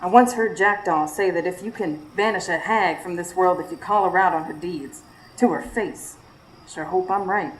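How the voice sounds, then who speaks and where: A woman's voice: fairly low pitch, moderate pace, lightly acted. A woman playing a sassy, superstitious Southern woman in a live radio drama, narrating her own confrontation dramatically. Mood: wary, bold. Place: studio before live audience. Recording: roomy live stage, faint noise.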